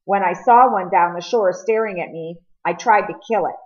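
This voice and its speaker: A woman voicing an earnest, remorseful teenage boy in a storybook, confessing in a serious, halting manner. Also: on the low side, muffled recording, natural voice. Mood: frank, agitated.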